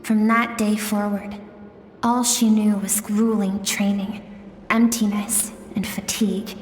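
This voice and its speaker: A woman voicing a mysterious, gentle guide who recounts memories in a video game, soft, deliberate and wistful, with echoing reverb. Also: mid-range voice, level tone, close to an everyday voice. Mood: reflective. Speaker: narrator and guide. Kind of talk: dramatic storytelling in a video game. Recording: echoing hall reverb with music.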